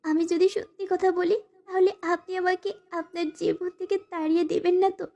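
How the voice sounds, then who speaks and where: নারীকণ্ঠে অভিনীত খুব চড়া গলার দুঃখী তরুণী বউ. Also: female voice, very high-pitched, flat delivery, moderate pace, creaky, mellow. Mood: hesitant, confessing. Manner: formal, submissive address to her husband. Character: young wife and student, timid, sorrowful, pleading narrator. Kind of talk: dramatized first-person romantic story narration.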